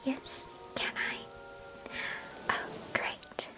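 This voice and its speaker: A woman's voice: very slow, music in the background, poor audio. Woman acting a whispering, chatty young girl cousin. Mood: coaxing, mischievous. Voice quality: breathy whisper. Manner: gentle, chatty, storybook-like old-fashioned phrasing. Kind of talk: ASMR roleplay, soft intimate whispering. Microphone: close microphone.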